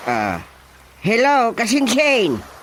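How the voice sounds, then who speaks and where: A man with an Ilocano accent playing an eager, wheedling rural cousin in a radio drama comedy, flattering with hesitant pauses. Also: extremely high pitch, slight background noise, full character voice.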